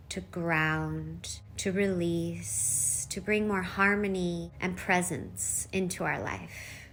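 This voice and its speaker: A woman leading a guided meditation, giving soft instructions in short phrases with long pauses between them. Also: fairly low pitch. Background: background music.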